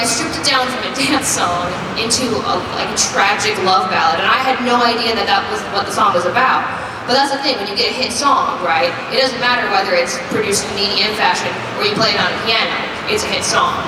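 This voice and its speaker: An upbeat young woman talks in an easy, chatty way, sprinkling her answers with "like." She sounds distant from the mic, in a big echoing hall. Loud ambient noise fills the space behind her. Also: medium pitch. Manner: upbeat and chatty, many "like" fillers, laughs often. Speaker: musician interviewee. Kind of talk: casual interview answers. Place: busy convention floor. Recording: echoey convention hall from far off, loud crowd noise.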